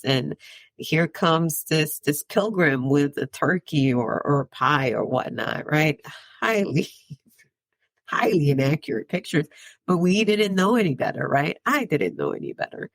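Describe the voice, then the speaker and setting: A woman's voice: low-pitched, no echo. A woman from the Dominican Republic hosting her own podcast, talking through her thoughts in a reflective monologue and often checking in with "right?". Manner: conversational, tags points with "right?", chuckles between thoughts. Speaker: podcast host.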